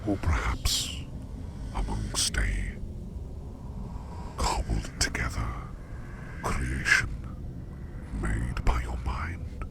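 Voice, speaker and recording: A man voicing a curious, courteous sleep demon in an ASMR roleplay, speaking in a breathy, gravelly whisper with formal, measured, reassuring phrasing. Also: rumbling low, animated, noisy background, heavily acted. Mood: calm, courteous.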